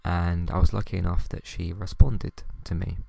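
A young man hosting a podcast, talking softly and hesitantly with many ums as he tries out ASMR for the first time. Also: deep voice, flat delivery, moderate pace, creaky, no room sound. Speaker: podcast host. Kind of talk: first attempt at ASMR, quiet podcast chat.

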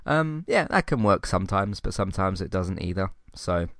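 A man's voice: low voice, expressive, moderate pace, a bit bright, no echo, studio quality. A man with a cold, sounding sniffly, hosting a casual podcast chat with many ums and restarts. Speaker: podcast host.

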